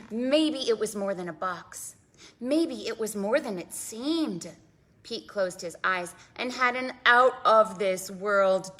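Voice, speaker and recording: A woman hosting a preschool storytime, reading aloud in an animated, sing-song way with dramatic emphasis and questions for the children. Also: mid-range voice. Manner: prompts listeners with questions. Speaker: children's librarian and storytime host.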